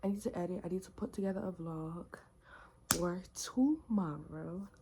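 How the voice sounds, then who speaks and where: A young woman vlogging from her bedroom in relaxed, rambling casual chat, full of slang and fillers. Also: fairly low pitch, normal speed, background noise. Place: bedroom at home.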